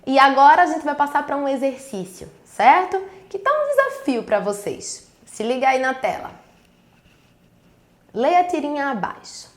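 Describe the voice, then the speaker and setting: A woman's voice: high-pitched, sing-song, normal speed. Northeastern Brazilian woman teacher, echoey. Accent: Northeastern Brazilian, Pernambuco. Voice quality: earnest, explaining, addresses pupils directly. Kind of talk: recorded school video lesson. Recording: echoey room.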